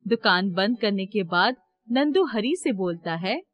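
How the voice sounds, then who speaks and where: A woman's voice: medium pitch, lively intonation, unhurried, muffled recording. A woman reading scripted narration for a children's moral story.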